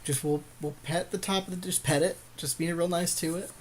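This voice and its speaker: A man's voice: a little high, fairly even intonation, a little fast. Slangy, agreeable man commentating a game. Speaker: podcast co-host commentating on a card game. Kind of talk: casual gameplay commentary.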